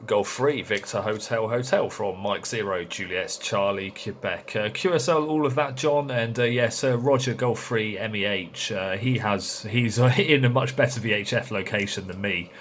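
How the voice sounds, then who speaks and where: A man's voice: fairly low pitch, moderate pace, hardly any pauses, fairly bright tone. A man chatting casually and hesitantly as he narrates and operates amateur radio. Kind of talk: casual hands-on narration and radio contact chat. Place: small indoor radio shack. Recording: noisy room with radio hiss.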